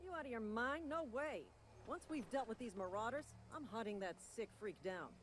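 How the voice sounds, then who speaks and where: An actor playing a wounded, officious frontier guard in a video game, folksy and earnest, reciting company patter in a drawl. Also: male voice, extremely high pitch, moderate pace, a bit bright, a little hiss or hum. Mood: indignant. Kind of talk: video game character acting.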